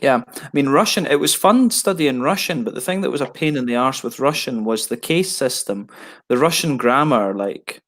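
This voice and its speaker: Man, 30s, casual rambling hangout talk over a headset, mid-pitched and relaxed, frequent self-corrections. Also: moderate pace. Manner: rambling, many restarts and fillers. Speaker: beer reviewer on a video hangout. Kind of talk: casual chat.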